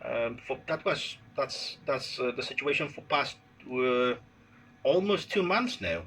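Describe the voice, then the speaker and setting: Male ham operator, Polish accent. Bright tone, halting casual delivery, some noise on a phone recording. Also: medium pitch, expressive. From Poland. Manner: halting, hesitant, searching for words. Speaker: amateur radio operator. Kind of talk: casual radio contact chat. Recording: noisy unplanned handheld phone recording.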